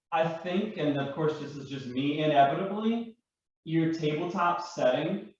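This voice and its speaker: A man in an unscripted panel discussion, explaining his view in a halting, reflective way. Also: a little high. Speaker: panel guest and larper. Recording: echoey, distant, dull microphone.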